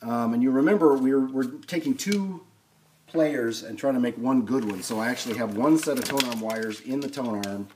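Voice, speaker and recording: A man's voice: medium pitch, normal speed, a little mellow, a little hiss or hum, rough recording. A man giving a casual, unscripted hands-on repair demonstration, thinking aloud as he works. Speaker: host of a vintage record player restoration video series.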